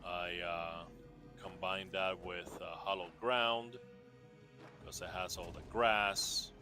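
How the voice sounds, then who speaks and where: Man from Puerto Rico, low and unhurried, casual rambling gamer showcase. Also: fairly even intonation, sharp tone, soft background music. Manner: rambling, laid-back, many fillers and restarts. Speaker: gamer showing off character builds. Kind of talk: casual game showcase chat.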